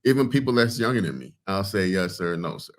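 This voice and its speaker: A man's voice: deep voice, big pitch swings, fast talker. A man from a Caribbean background talking casually with his wife on a podcast, easygoing and teasing, laughing a lot. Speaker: podcast guest, host's husband. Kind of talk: casual chat.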